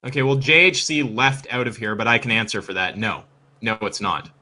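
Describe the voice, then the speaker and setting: Bright-voiced, mocking male chat host. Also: fairly low pitch, lively intonation, brisk. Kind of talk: casual chat, debate commentary. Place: online voice call.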